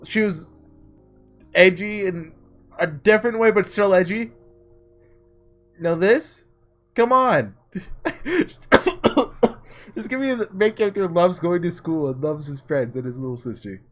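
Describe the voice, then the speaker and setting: A man doing a casual let's play, reading story text aloud and breaking off into excitable asides, with game music behind him. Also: high-pitched, a little slow, pauses often. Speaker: gaming video creator doing a blind playthrough.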